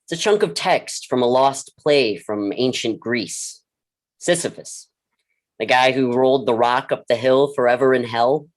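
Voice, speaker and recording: An actor plays a blunt, world-weary mentor in a staged drama. The voice sits at a middling pitch, and the delivery is deliberate and earnest, with a dry frankness. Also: lightly acted. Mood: grave, philosophical. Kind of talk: staged play reading, dialogue and monologue.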